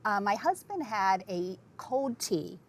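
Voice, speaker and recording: A middle-aged woman talks in a chatty, enthusiastic way about food she loved. Her voice sits at a plain middle pitch in a casual panel conversation. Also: big pitch swings, slow. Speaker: guest, publicist.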